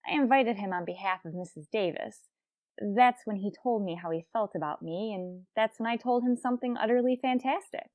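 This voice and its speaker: An actress playing a wry, lovelorn schoolteacher with deadpan, sarcastic wit in an old-time radio comedy. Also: female voice, mid-range voice, fairly quick, no room sound, close to an everyday voice. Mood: urgent.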